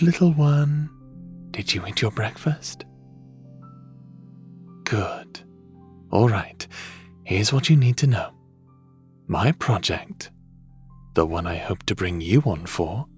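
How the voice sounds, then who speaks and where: An actor playing a calm, affectionate underworld god in an ASMR fantasy roleplay, talking in a measured, gently teasing way to the listener. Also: male voice, on the low side. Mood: calm, attentive. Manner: measured, softly teasing, addresses listener as little one.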